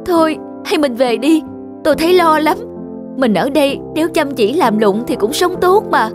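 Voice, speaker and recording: A woman playing a worried, long-suffering village wife in a folktale cartoon, plaintive and exclamatory, quick to scold. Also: high voice, lively intonation. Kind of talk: animated folktale cartoon dialogue. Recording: background music behind the dialogue. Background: music.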